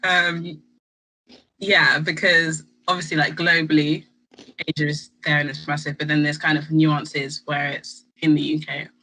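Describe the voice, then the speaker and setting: Young woman, bright tone, a bit husky, rambling casual chat. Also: low-pitched, level tone, measured pace, rough recording. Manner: rambling, lots of fillers and laughs. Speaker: student union vice president. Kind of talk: casual chat while eating snacks.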